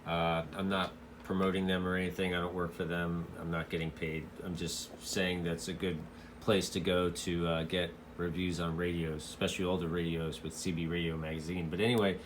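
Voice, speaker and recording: A man giving a casual, hands-on product review in a halting way, as a hobbyist. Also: sits low, level tone, background noise. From United States.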